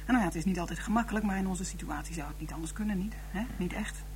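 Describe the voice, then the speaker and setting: A woman's voice: lowish voice, rapid-fire, darkish tone, a little hiss or hum, lightly acted. A woman playing an affectionate, busy wife in her thirties in a naturalistic radio drama, chatty in manner. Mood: wistful. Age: 30s.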